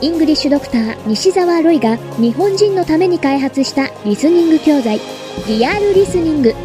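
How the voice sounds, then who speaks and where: A woman's voice: high voice, expressive, rapid-fire. A woman reading a punchy promotional ad. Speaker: ad announcer. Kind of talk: fast promotional ad read. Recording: ad read over music.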